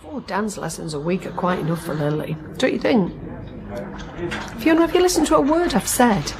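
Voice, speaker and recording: An actress playing a concerned, busy married sister in a radio drama, chatty and coaxing. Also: female voice, mid-range voice, animated, normal speed, crowd noise, lightly acted. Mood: weary, friendly. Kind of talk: naturalistic radio drama acting.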